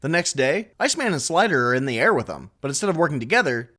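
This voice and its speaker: A man recapping a film for laughs, his pitch bouncing all over the place. He drops dry, mocking asides as he tells it. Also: big pitch swings, fast talker, background noise. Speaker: comedy recap narrator. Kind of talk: sarcastic comedic film recap narration.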